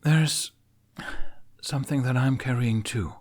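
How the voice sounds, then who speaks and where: A man's voice: medium pitch, slow pace, voice pushed up. A man voicing a guarded young man who hides his worries behind half-hearted jokes in an audio story. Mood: guarded, conflicted. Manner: flippant, half-hearted joking.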